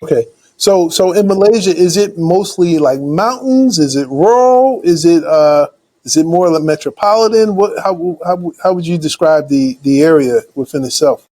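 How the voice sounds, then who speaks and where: A man with a notably high voice hosts an interview and asks his questions hesitantly. He often repeats words before he gets the question out. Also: animated, normal speed, darkish tone. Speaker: show host.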